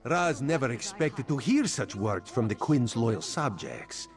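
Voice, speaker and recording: An actor playing a sly, watchful cat-man spy in a fantasy video game, with a measured, theatrical delivery. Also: medium pitch, very animated, music in the background. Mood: concerned. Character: sly, watchful male cat-folk spy speaking in third person. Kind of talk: video game character dialogue.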